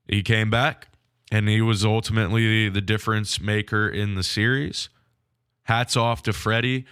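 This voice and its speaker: A man hosting a sports podcast on his own, sounding nasal from a cold, talking through a game in a frank, measured way. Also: fairly low pitch, fairly bright tone, clean tone, no echo, studio quality. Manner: frank, measured hockey analysis. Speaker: podcast host. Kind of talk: solo sports podcast recap.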